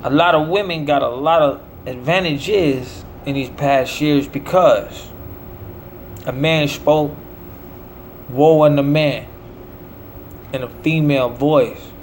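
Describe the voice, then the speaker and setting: A somewhat high-voiced American man talks in a rambling monologue. He breaks his thoughts into short, declamatory phrases like a street preacher, over a clear, steady hum of room noise. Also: slow, long pauses. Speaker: self-promoting musician.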